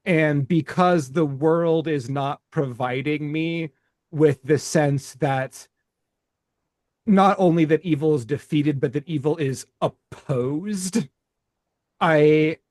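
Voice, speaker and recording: Male podcast host, slightly high voice, unhurried and halting, reflective delivery, close dry recording. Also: no echo. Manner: halting, thoughtful, carefully weighing words.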